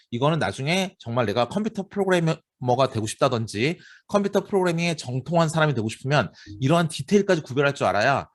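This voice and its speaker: A man in his forties with a Seoul accent, giving a university lecture in a chatty way and often asking his students rhetorical questions. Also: mid-range voice. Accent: Seoul Korean. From Seoul, South Korea. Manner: lively, talkative, addresses students directly with rhetorical questions. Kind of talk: classroom lecture.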